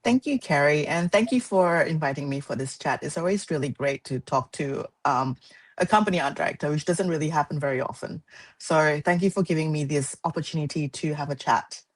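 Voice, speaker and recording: Woman in her thirties from Malaysia, low voice, unhurried guest answering questions. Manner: soft-spoken, thoughtful, frequent "um" pauses. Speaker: guest artist. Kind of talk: casual interview.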